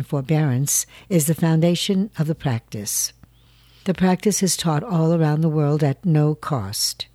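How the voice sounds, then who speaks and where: A narrator reading scripted news in a calm, steady way. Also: mid-range voice, no room sound.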